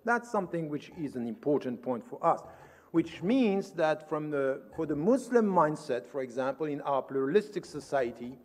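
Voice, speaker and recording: A man speaks at a formal conference in a notably high-pitched voice that rings through a reverberant hall. He is emphatic and didactic, building his argument step by step and often answering his own questions. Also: sing-song, moderate pace. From Switzerland.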